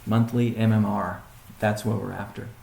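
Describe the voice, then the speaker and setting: A man speaking as a chief executive on a conference call, answering questions in a halting, deliberate way with pauses and restatements, in a roomy recording. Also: lowish voice, flat delivery, gravelly, warm tone, slight background noise. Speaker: company CEO hosting a weekly conference call. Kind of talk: question-and-answer business briefing. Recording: roomy, echoing sound.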